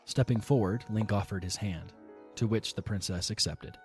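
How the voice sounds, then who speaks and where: Man, narrator, fairly low voice, steady measured reading, audio drama, faint music behind.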